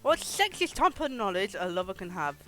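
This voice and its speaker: A streamer giving live game commentary and reading game text aloud in an animated, giggly way, with casual swearing. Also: medium pitch, brisk. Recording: music behind the voice. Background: game music.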